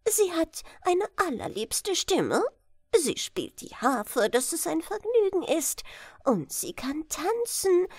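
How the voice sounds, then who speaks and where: A woman acts out a male royal chancellor from a storybook. He speaks in a thin, high falsetto, slowly and delicately, coaxing his listeners with care. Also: a little mellow, no room sound, studio quality, full character voice. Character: high-voiced old royal chancellor, male, flattering and scheming.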